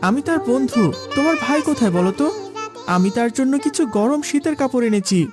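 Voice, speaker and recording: A woman's voice: high voice, animated, fairly quick, music in the background. A woman voicing a proud, pampered young boy in a moral cartoon, with a childlike, self-assured manner. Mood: friendly, eager. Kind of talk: Islamic moral cartoon dialogue.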